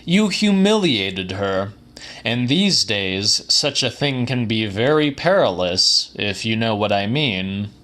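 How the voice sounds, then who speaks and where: A man's voice: lowish voice, sing-song, slow, a bit bright, exaggerated voice. A man voicing a tense male art critic in an audiobook, admonishing with veiled, insinuating warnings. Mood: scolding, insinuating.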